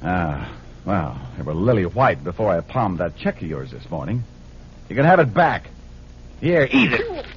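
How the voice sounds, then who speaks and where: Low-voiced wisecracking private eye, old radio. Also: male voice, wildly swooping pitch, normal speed, slightly rough, heavily acted. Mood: irritated, sarcastic. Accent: American. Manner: wry, wisecracking. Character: wisecracking tough male private detective. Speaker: lead actor and narrator. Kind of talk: 1940s radio acting, hard-boiled narration. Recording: dull old radio recording, music.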